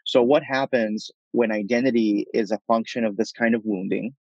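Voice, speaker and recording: A man from Puerto Rico speaking as a thoughtful podcast guest, laying out his ideas in articulate, measured phrases. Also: fairly low pitch, fairly even intonation, darkish tone, no echo, highs cut off. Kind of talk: reflective interview conversation.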